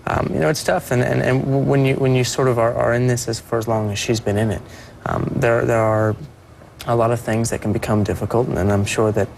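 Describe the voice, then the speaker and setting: A young man speaking as a performer guest on a TV interview, soft-voiced and earnest, often restarting his sentences. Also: medium pitch, steady tone, fairly quick, gravelly, slight background noise. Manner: earnest, with many restarts and repeated words. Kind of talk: TV morning show interview.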